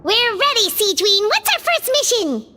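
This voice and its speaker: A woman voicing a tiny floating companion who is excitable and chatty and talks about herself by name. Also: very high-pitched, moderate pace, heavy background noise. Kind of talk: game voice acting. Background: music.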